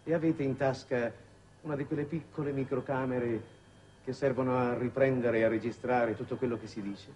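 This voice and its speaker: An actor playing a shrewd, weary middle-aged statesman in a 1960s Italian television drama, speaking in formal, measured phrases. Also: medium pitch, steady tone, a little mellow, natural voice. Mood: probing, ironic. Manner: measured, formal, deliberate oratory. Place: television studio set. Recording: noisy old 1960s television soundtrack.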